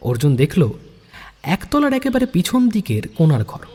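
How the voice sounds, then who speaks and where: Husky guy reading a story dramatically, voice kinda high and very animated, big reverb. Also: normal speed, slight background noise. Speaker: narrator. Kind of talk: scripted dramatic story narration. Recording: long hall-like reverb.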